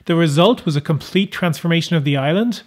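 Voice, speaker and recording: A man narrating a how-to sailing vlog in a measured, explanatory manner. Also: fairly high pitch, animated, a little mellow. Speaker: sailing vlogger.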